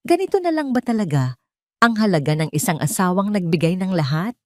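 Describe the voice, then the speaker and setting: A woman with a fairly low voice narrates a dramatic story in the first person. Her delivery is solemn, measured and emotive. The sound is dry, with very little room around it. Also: very animated, no echo.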